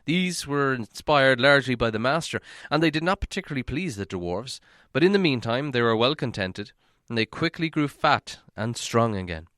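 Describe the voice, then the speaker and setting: A man reading a fantasy story aloud in expressive scripted narration. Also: mid-range voice, moderate pace, hardly any pauses, no room sound, studio quality. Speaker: audiobook reader.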